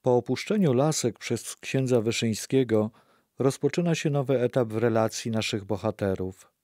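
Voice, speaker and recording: A man narrating a scripted religious history radio play in a measured, factual way. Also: mid-range voice, a little mellow, no room sound, natural voice.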